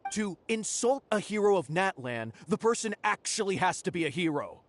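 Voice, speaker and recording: A man's voice: high-pitched, very animated, normal speed, dry recording. An actor playing a sneering, doubtful man in a video game. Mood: mocking. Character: sneering, doubtful male onlooker. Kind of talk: English video game voice acting.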